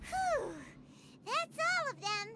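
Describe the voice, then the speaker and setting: A woman voicing a small, excitable, childlike fairy companion in a video game, squeaky and perky. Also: slow, exaggerated voice. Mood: curious, relieved. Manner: perky, exclaiming. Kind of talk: video game character acting. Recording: game audio with background noise.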